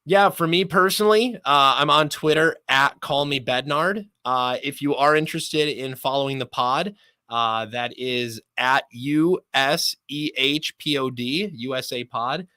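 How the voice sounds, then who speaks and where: A man's voice: mid-range voice, measured pace, sharp tone, no echo. A man speaking as a sports announcer guest in a conversational podcast interview, talkative and emphatic.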